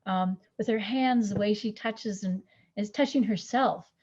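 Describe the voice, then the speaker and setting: A woman's voice: mid-range voice, measured pace, husky, lo-fi sound. A woman hosting an art panel, encouraging the speakers and affirming them often. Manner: encouraging, many soft affirmations. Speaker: panel host. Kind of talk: moderating a panel.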